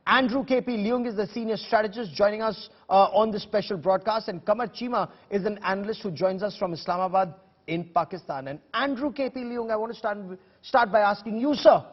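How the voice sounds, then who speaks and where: Male Indian-accented debate host, very high pitched, combative, in dull reverberant sound. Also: brisk. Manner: combative, emphatic questioning with rhetorical repetition. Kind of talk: broadcast debate moderating.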